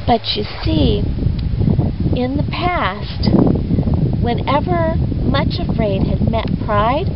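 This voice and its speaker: A woman telling a children's story expressively, leaning on key words with deliberate, dramatic emphasis. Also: high-pitched. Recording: dull lo-fi audio with clear background noise.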